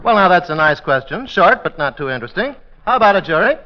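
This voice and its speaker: An actor playing a deadpan, mock-formal judge of a comic court in 1940s radio comedy style. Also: male voice, sits high, fast. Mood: dry, deadpan. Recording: muffled old radio broadcast.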